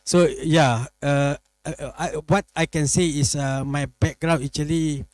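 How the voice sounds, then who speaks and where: A middle-aged man from Malaysia, a professor answering interview questions, speaking haltingly and restarting his phrases. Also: a little high, fairly even intonation, a little mellow, dry recording. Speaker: guest professor. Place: studio set.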